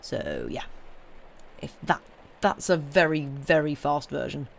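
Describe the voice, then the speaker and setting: A woman's voice: sits low, sing-song, slow pace, creaky, slight background noise. A woman chatting casually to live stream viewers as host, informal and hesitant as she thinks aloud.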